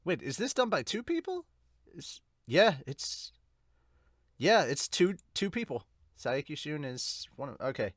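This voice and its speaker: A man's voice: on the high side, very animated, a little slow, fairly bright tone, dry recording, studio quality. A man hosting a manga review podcast in casual reaction chat, informal and often interrupting himself.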